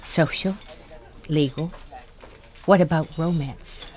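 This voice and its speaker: A woman's voice: low voice, takes it slowly, a little mellow, slight background noise. An actress playing a wry, indulgent older wife who tells her story confidingly in an audio drama. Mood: insistent, pleading. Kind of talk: audio drama acting with first-person narration.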